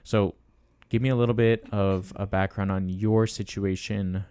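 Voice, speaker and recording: A man's voice: on the low side, fairly even intonation, measured pace, dark tone, clear, resonant tone, no echo, clean studio sound. A man in his twenties hosting a casual fitness podcast, chatty and laughing as he tells stories. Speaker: podcast host and coach.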